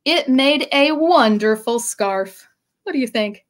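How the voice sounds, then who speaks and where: Lady librarian doing storytime for little kids, voice on the high side, expressive, asks the kids stuff. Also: slow pace. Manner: expressive, addressing children directly with questions. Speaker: children's librarian reading aloud. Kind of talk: presenting and reading a picture book to children.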